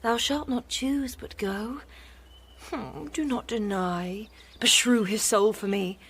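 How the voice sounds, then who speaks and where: Slow-speaking, lovestruck noblewoman. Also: on the high side, a bit bright, slight background noise. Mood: imploring. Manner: imploring. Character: lovestruck noblewoman tenderly pressing her suit. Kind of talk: classical radio drama acting in Shakespearean English.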